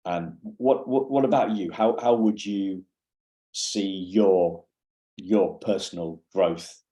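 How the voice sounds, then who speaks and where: A man's voice: deep voice, warm tone. A male podcast interviewer who halts and repeats words as he forms his questions. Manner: halting, repeats words while forming questions. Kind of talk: casual interview conversation.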